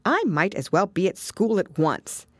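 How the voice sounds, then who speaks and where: A woman's voice: mid-range voice, sing-song, a little slow, breathy edge, dry recording, studio quality, voice pushed up. A woman voicing a polite, curious, slightly timid little girl in a storybook, earnest and full of wonder.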